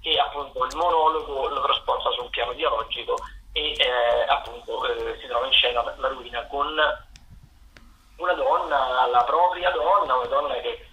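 Halting, bright-voiced man in echoey sound. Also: medium pitch, fairly even intonation. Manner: halting, hesitant, searching for words. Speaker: radio theatre correspondent. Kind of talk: spoken listings of theatre and dance events. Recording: roomy echo, faint background noise.

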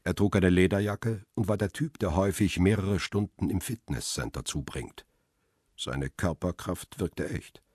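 A man's voice: low voice, darkish tone, husky, no echo, clean studio sound. An actor playing a world-weary middle-aged photographer, telling his own story soberly and laconically in a radio crime drama. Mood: sober, observant. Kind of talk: first-person radio crime drama narration.

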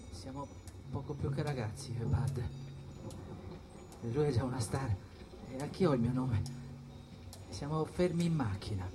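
Withdrawn young jazz musician. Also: male voice, mid-range voice, background noise. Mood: melancholy. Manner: halting, short repeated phrases. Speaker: stage actor. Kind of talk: theatrical jazz monologue with music. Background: jazz music and percussion.

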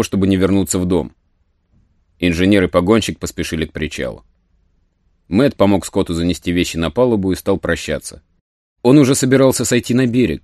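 A man's voice: low-pitched, warm tone, no room sound, clean studio sound. A man narrating a story as a scripted dramatic audiobook. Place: studio.

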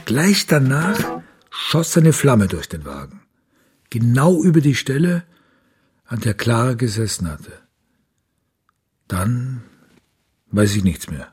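Slow, brooding father. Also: mid-range voice, big pitch swings, husky, exaggerated voice. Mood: tense recollection. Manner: halting, confiding, reflective. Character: brooding divorced father haunted by his lost daughter. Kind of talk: radio drama acting. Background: music.

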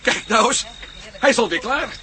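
An actor in a radio play playing an excitable, amazed man who gushes and repeats himself. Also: very high-pitched, normal speed, a crowd in the background. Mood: delighted. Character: excitable, amazed man helping prepare a space launch. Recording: noisy old radio play recording.

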